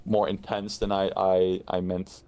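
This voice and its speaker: Very deep-voiced blunt male co-host. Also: little pitch movement, unhurried, warm tone. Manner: blunt and matter-of-fact, with hesitant restarts. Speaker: podcast co-host. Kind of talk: casual chat about a story.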